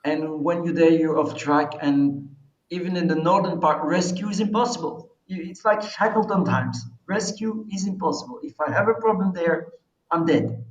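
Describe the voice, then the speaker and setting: Man, Belgian accent, non-native English, slightly high voice, emphatic interview guest, dull distant recording. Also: normal speed. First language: not English. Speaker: interview guest, expedition adventurer. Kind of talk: conversational interview, recounting experiences. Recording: roomy, distant, dull microphone sound.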